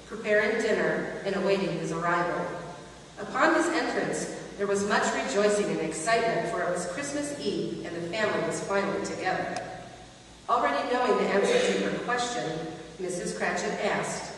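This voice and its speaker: A woman's voice: mid-range voice, normal speed, a bit bright. A woman giving a scripted, dramatic storytelling narration. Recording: distant, echoey hall, some hiss.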